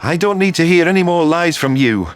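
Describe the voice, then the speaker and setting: A man's voice: fairly high pitch, lively intonation, brisk, faint music behind, natural voice. An actor playing a concerned, loyal human man in earnest, emphatic dialogue from a sci-fi audio drama. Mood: hurt, firm.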